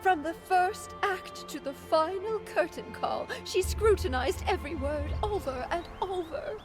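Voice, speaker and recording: A woman's voice: very high voice, lively intonation, a little slow. A woman voicing an elegant, sentimental former actress in video game story dialogue, with a dramatic, lilting storytelling manner. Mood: wistful, fond. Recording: background music under the voice.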